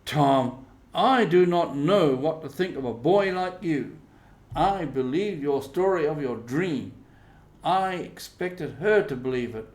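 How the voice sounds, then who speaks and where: A man acts out a stern old aunt from a storybook, hurt and scolding. The voice is pitched up and emphatic, full of reproach, in an echoey room. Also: expressive, slow pace.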